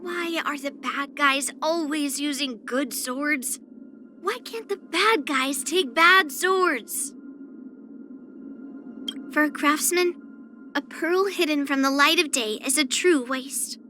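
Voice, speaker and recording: A woman's voice: sits high, takes it slowly, sharp tone, faint music behind, exaggerated voice. A woman voicing a spirited, proud young swordswoman in an anime-style video game, speaking in an earnest, blunt, slightly pouty way. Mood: pouty, complaining.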